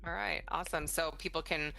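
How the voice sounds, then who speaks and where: A woman's voice: low-pitched, fairly even intonation, fairly quick, creaky, bright, forceful tone, faint music behind, rough recording. A middle-aged woman interviewing in a casual, chatty way, with an encouraging, supportive manner. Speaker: interviewer, mother of the guest.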